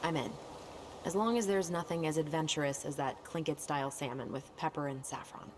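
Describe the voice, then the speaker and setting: A woman's voice: low voice, a little fast, lightly acted. A woman playing a loyal, teasing young twin sibling in a naturalistic video game performance. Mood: wry. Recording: echoey, noisy, distant game capture.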